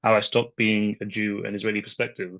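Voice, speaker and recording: A male host speaks fluently and lays out a long question one piece at a time. His voice sits low and barely moves in pitch. The recording sounds dull and lo-fi. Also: level tone, fast. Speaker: interviewer, host.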